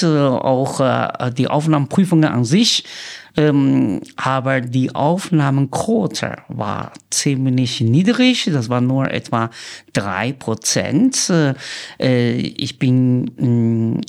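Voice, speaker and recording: A man in his sixties from China with a Chinese accent, a native Chinese speaker, haltingly recounting his life as an interview guest and searching for words. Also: mid-range voice, a little mellow. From Sichuan, China. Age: 60s.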